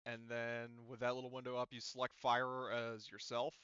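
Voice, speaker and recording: A man with a smooth, clear voice runs a tabletop game as game master. His talk is casual and informal, and the close, dry recording sounds a little dull. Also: mid-range voice, monotone, moderate pace, a bit bright, dry recording.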